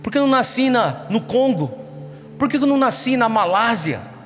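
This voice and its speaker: A man's voice: very high-pitched, expressive, normal speed, fairly bright tone. A Brazilian man preaching to a young congregation, exhorting them with repeated rhetorical questions. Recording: echoey large hall, music behind. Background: music.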